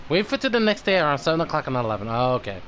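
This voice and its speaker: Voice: mid-range voice, very animated, fast, a bit bright, slight background noise. An irritable, blunt game streamer chatting casually on a livestream, swearing freely.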